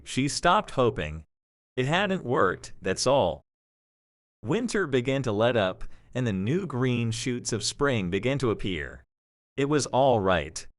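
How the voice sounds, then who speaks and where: Expressive male storyteller with lively intonation. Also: medium pitch, no room sound, clean studio sound. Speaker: narrator. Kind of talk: scripted storybook narration for audio drama.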